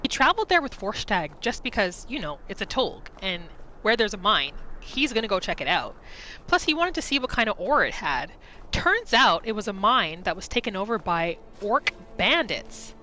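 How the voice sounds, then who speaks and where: A woman's voice: mid-range voice, very animated, moderate pace, sharp tone, a little hiss or hum, poor audio. A woman hosting a gaming video, narrating her roleplay playthrough in a casual, chatty storytelling way. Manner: chatty, informal, storytelling in third person.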